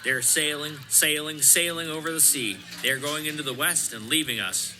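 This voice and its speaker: Simple, earnest country hobbit male actor, folksy and full of wonder, audio-play style over loud music. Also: on the high side, normal speed, natural voice, poor audio. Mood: wistful, wondering. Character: earnest, credulous rustic male hobbit gardener. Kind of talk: audio drama acting.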